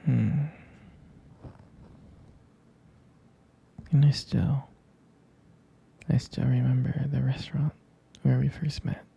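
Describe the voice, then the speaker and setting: A man playing a jealous, devoted boyfriend in an intimate ASMR roleplay, murmuring tenderly and hesitantly in a breathy, husky near-whisper. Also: mid-range voice, flat delivery, measured pace, dark tone, full character voice. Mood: tender, nostalgic. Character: jealous, protective, devoted young boyfriend soothing his partner.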